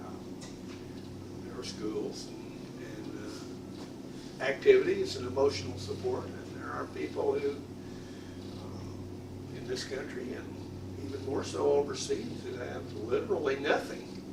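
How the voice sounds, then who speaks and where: An older man speaking at a club meeting, slow and thoughtful, with self-mocking humor. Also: mid-range voice. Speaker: club member answering questions. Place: meeting room. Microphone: far camera. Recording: echoey room, far off, background noise.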